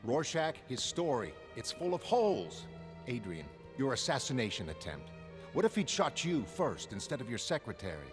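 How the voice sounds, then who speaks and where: A man's voice: mid-range voice, big pitch swings, normal speed, over music, lightly acted. A man voicing an earnest, disbelieving retired costumed hero, agitated and protesting, in a motion comic. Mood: incredulous pleading. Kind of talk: dramatic motion comic narration.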